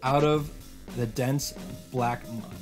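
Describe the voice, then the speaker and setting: A man voicing a desperate, determined young hero, narrating a visual novel in an intense, halting, solemn way. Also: mid-range voice, steady tone, music in the background, natural voice. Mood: desperate resolve.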